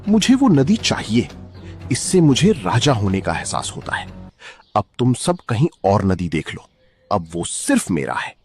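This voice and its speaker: A man's voice: lowish voice, wildly swooping pitch, a little slow, darkish tone, faint music behind, heavily acted. A man voicing a selfish, pompous wolf king who makes demands in a cartoon fable. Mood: pompous, demanding. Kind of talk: animated children's fable acting.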